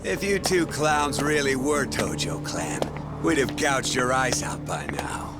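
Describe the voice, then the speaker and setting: A man's voice: medium pitch, lively intonation, a little slow, loud music behind the voice, close to an everyday voice. A man playing a smug, mocking middle-aged yakuza captain in a video game, drawling his theatrical threats. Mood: mocking menace. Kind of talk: dramatic video game cutscene acting.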